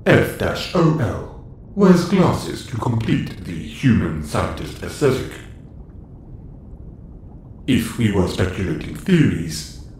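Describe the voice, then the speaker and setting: Man playing a deep, deliberate android starship boss. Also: darkish tone, heavily acted. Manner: precise, formal, clinical phrasing. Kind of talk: scripted audio roleplay. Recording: roomy echo, faint background noise.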